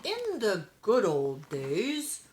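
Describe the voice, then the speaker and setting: A woman's voice: mid-range voice, wildly swooping pitch, extremely slow, a little mellow, a little hiss or hum, pitched above the performer's own voice, heavily acted. A woman voicing a playful, nostalgic old grandfather cat in a children's storybook, drawing out words in a folksy way. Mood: playful, inviting.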